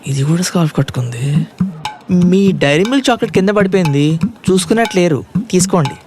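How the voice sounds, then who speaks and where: A man's voice: high voice, animated, fast, darkish tone, soft background music. A man playing an earnest, awkward young college student in love, in a comedy audio drama. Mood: nervous, insistent. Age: young adult.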